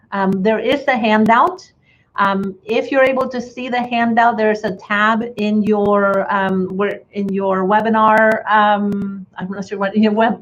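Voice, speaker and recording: Woman from chile, light accent, chatty webinar talk with lots of ums, slightly dull audio, faint hiss. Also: medium pitch. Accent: Chilean Spanish. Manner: friendly, filler-heavy, restarts often. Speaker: webinar presenter, bookkeeping educator. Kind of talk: webinar presentation.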